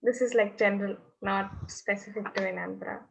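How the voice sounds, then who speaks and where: A woman's voice: mid-range voice, fairly even intonation, brisk, vocal fry. A young woman interviewing a guest, asking casual questions and often saying "like". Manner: casual, uses filler "like". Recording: dull lo-fi audio.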